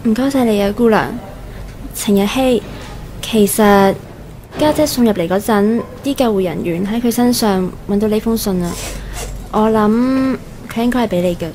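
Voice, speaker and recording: A woman playing an emotional young younger sister in a radio drama, expressive, with a Hong Kong Cantonese accent. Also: mid-range voice, normal speed, a little hiss or hum, natural voice. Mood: subdued.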